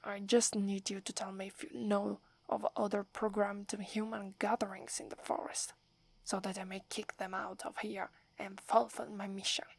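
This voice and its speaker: A woman with an Italian accent voicing a proud, wary young werewolf girl in a soft, breathy whispered roleplay, haughty but stammering when flustered. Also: mid-range voice, little pitch movement, moderate pace, dry recording. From Italy.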